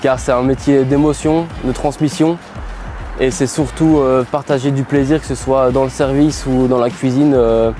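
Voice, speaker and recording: Halting man, mellow tone. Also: mid-range voice, fairly even intonation, faint music behind. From Belgium. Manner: halting, repeats himself. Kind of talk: promotional testimonial.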